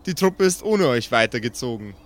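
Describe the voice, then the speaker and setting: A man narrating an improvised pen-and-paper story as game master, measured, with dramatic pauses. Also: mid-range voice, big pitch swings.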